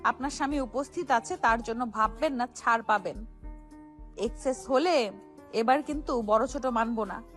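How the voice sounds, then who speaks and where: A wronged young wife voiced by a woman in a romantic audio drama. Her high voice is firm and defiant, confronting others over faint music. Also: normal speed, a bit bright, lightly acted.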